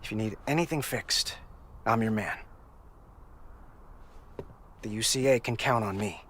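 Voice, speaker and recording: An actor playing a remorseful, earnest male repairman who speaks in sincere, halting phrases in a video game cutscene. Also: lowish voice, fairly bright tone, slight background noise.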